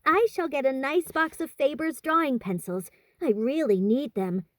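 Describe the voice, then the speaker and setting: A woman's voice: sits high, normal speed, no room sound, clean studio sound, voice pushed up, natural voice. A woman voicing a fussy, proper young girl in a storybook, pert and full of wounded dignity. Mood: decided. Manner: pert, indignant, affectedly prim.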